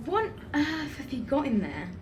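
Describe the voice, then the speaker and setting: High-voiced sceptical young woman, acted. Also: wildly swooping pitch, moderate pace, a little hiss or hum. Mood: curious, wary. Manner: dry, questioning. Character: sceptical, sarcastic young female video maker, uneasy but bold. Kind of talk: naturalistic audio drama acting.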